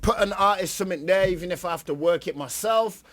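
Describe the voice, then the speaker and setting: A man's voice: sits high, quick pace, a bit bright, dry recording. A British man from Coventry chatting casually as an interview guest, slangy and emphatic, often repeating his phrases. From Coventry, England. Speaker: interview guest, music artist. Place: radio studio.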